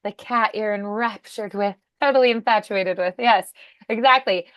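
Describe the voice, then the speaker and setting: A woman's voice: medium pitch, normal speed, dry recording, muffled recording. A woman working as a voice acting coach, encouraging and affirming as she talks a student through questions one-on-one.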